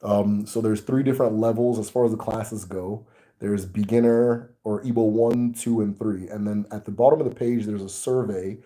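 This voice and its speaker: A man with an American accent, hosting a casual talk to his viewers in a relaxed, rambling way with many fillers. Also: lowish voice, normal speed. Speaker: host. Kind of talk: casual video chat to viewers.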